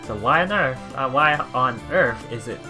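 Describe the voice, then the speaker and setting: Male let's play host, mid-pitched, casual read-aloud with halting commentary, repeated words, music clearly behind. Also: expressive. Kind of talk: reading game text aloud with casual live commentary. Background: game music.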